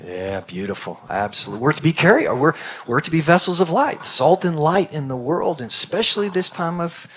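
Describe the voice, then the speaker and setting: A man's voice: on the high side, lively intonation, brisk, few pauses, a bit bright, breathy edge. A man leading a church discussion as pastor, affirming each answer and repeating key words. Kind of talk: interactive sermon.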